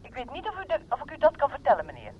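A cautious, official-sounding woman on the phone in an old radio drama. She is formal and reserved, with a lively rise and fall in pitch. Her voice comes through distant and muffled. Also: very fast. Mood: reserved, reluctant. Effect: telephone voice, distant. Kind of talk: radio play acting.

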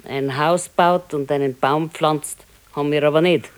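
Older wife, Bavarian accent, practical, resigned, soothing, colloquial, matter-of-fact, radio play. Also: female voice, low-pitched, steady tone, a little slow, clear, resonant tone. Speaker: radio drama actor. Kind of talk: naturalistic 1970s radio play dialogue in dialect.

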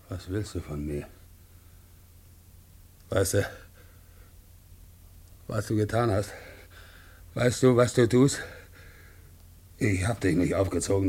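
An actor playing an embittered middle-aged tavern keeper and father in a radio drama, intense and insistent, with a rough-edged husky voice. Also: fairly low pitch, a bit bright, heavily acted. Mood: stunned, bitter. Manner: intense, insistent, repeating himself. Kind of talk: 1950s German radio drama acting.

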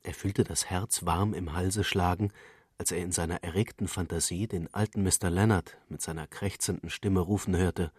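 A man's voice: low-pitched, fairly even intonation, normal speed, a little mellow, husky, dry recording, clean studio sound. A man reading literary prose as a scripted narrator.

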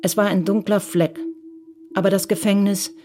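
An actress narrating a radio crime drama as a cool, detached storyteller. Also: female voice, mid-range voice, flat delivery, moderate pace, noisy background, natural voice.

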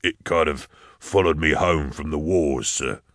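A male narrator performs an old, overweight caretaker for an audiobook. The voice is low and gruff, that of a man in his sixties who once soldiered and still guards his floor. The sound is dry, with no room around it. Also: a little slow, a bit bright, no room sound. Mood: deferential. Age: 60s. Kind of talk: audiobook character dialogue.

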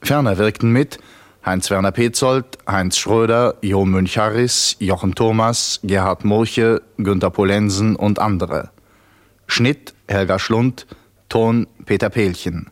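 A man reading credits as a formal, measured 1960s German radio announcer. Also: fairly low pitch.

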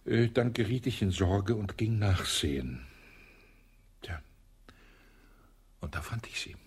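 An actor playing a sly colonel under police questioning in a radio play, with a husky voice, evasive and dryly sarcastic, often dropping to a whisper. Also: male voice, fairly low pitch, animated, slow, full character voice. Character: sly elderly male colonel, suspected wife-killer.

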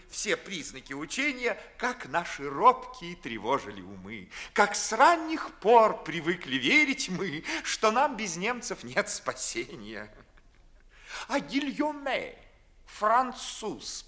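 An actor playing a witty, ardent young gentleman in a declamatory, mocking manner, in 1950s Russian theatrical radio verse. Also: sits high, heavily acted. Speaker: stage actor. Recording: early 1950s studio radio recording.

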